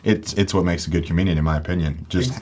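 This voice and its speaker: A man with a loud voice hosting a casual podcast chat, eager and affirming. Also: low-pitched, quick pace.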